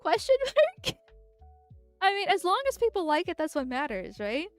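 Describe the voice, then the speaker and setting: A woman's voice: very high voice, huge pitch swings, moderate pace, faint music behind. A woman streamer with a nasal voice, chatting casually in a rambling, giggly way with frequent filler words. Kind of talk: casual livestream chat.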